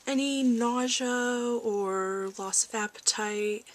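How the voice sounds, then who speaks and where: A woman doing a soft-spoken ASMR roleplay as a calm research doctor, gentle, reassuring and a little hesitant, in a home recording. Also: fairly high pitch, slow. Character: calm corporate research doctor giving a checkup. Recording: faint background noise, home ASMR recording.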